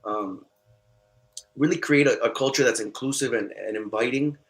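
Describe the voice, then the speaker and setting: A man whose first language is Spanish, answering as a candidate at an online forum, earnest and halting with many fillers. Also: medium pitch. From United States. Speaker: political candidate.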